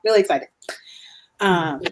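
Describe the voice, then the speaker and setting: A woman from Guyana speaking as a guest in a casual podcast conversation, animated, with emphatic repeats and frequent laughs. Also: lowish voice, unhurried, background noise.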